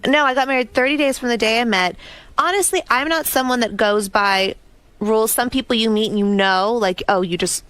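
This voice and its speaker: A woman's voice: a little high, a little fast, a bit bright, clear, resonant tone, no echo. Giggly young woman, chatty talk show guest. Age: 20s. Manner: giggly, chatty, lots of "like" fillers. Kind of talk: casual chat.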